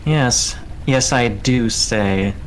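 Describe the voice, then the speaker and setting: A man voicing a courtly, self-assured ratman plague cultist who speaks in formal, measured phrases for a tabletop game. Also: medium pitch, crowd noise. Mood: self-assured, musing.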